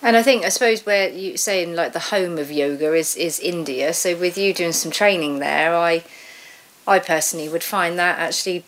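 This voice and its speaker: A woman's voice: lowish voice, moderate pace, poor audio. A woman, hosting a casual interview and putting questions to a guest. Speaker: podcast host, interviewer.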